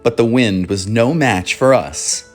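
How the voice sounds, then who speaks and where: A man hosting a children's read-aloud, narrating from a script in a measured, clearly phrased way. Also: mid-range voice, faint music behind.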